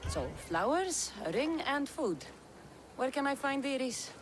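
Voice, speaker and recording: An actress playing a blunt, protective mercenary heroine in a video game, direct and dry in manner. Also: female voice, high-pitched, very animated, unhurried, over music. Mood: wry, friendly. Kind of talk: video game cutscene acting.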